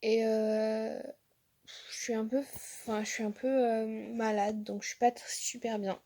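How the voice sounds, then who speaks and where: A young woman vlogs from her bedroom into a phone at arm's length. Her voice is mellow and a little high, roughened by a slight hoarseness from a sore throat. She rambles casually, padding her sentences with fillers and restarts. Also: flat delivery, unhurried. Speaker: vlogger. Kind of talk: casual vlog chat. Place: bedroom at home. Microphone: phone held at arm's length.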